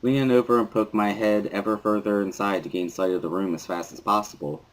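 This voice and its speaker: A man's voice: fairly low pitch, fairly even intonation, brisk, poor audio. A man giving a dramatic first-person reading of a visual novel as its narrator.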